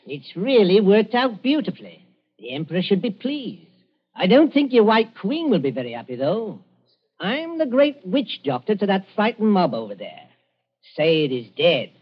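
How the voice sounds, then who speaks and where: An actor playing a smug, menacing German agent who taunts and boasts in an old-time radio drama. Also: male voice, high-pitched, big pitch swings, moderate pace, husky, heavily acted. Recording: muffled vintage radio recording.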